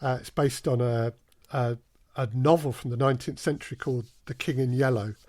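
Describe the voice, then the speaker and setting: A man's voice: mid-range voice, fairly even intonation, brisk, darkish tone, dry recording, clean studio sound. A man talking conversationally as an interview guest, explaining his work through anecdotes. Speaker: podcast guest, audio drama producer.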